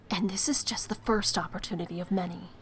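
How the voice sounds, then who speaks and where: A woman's voice: lowish voice, a bit bright, natural voice. Actress doing a tough streetwise young woman, cynical and scheming, audio drama, noisy ambience behind. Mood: sly, resigned. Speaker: voice actor.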